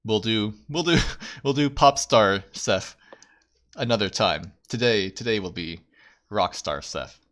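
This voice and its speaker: Slow-paced young man chatting on stream. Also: on the low side, fairly bright tone. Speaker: VTuber livestream host. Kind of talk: casual livestream chat. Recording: background music. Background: music.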